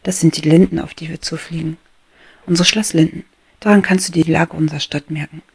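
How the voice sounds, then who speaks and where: A patient, friendly worker bee woman guiding a youngster along, as a woman reads her part. Her storybook voice is low, soft and breathy, close to a whisper. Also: steady tone, a little fast, exaggerated voice. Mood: instructive. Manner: friendly, gently instructive. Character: kindly grown female honey-carrier bee, patient.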